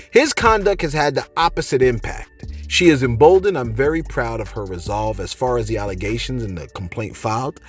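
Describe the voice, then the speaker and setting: A man hosting a casual commentary show, reading posts aloud and answering viewers with animated, mock-angry bursts. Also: mid-range voice, slightly rough. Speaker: commentary channel host.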